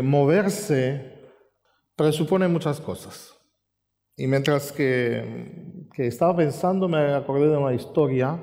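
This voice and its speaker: A man from Romania, Romanian by birth, preaching a sermon in Spanish with an Argentine accent, reflective and pausing with fillers, lifting his voice when he reads scripture. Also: medium pitch, warm tone. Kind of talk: sermon with Bible reading. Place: church hall. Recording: long reverb in a large hall.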